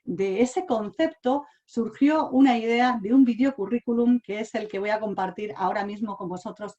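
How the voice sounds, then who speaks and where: Woman in her forties, online talk, halting, full of fillers, mid-pitched voice. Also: mellow, muffled recording. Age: 40s. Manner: hesitant, many fillers and restarts. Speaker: speaker. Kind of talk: online talk sharing personal experience. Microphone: online talk mic.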